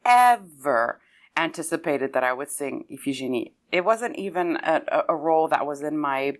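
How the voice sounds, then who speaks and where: A woman's voice: sits low, expressive, normal speed. A Lebanon-born woman, an opera singer whose first language is Arabic, talking thoughtfully in an interview and often restarting her sentences. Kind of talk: conversational interview.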